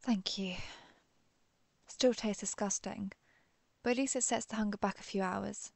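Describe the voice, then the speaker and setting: A woman playing a protective vampire who hunts her own kind, speaking in a measured, confiding way in an intimate audio roleplay. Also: medium pitch, fairly even intonation, vocal fry, no echo. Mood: relieved, reflective. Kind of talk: intimate scripted audio roleplay addressed to the listener.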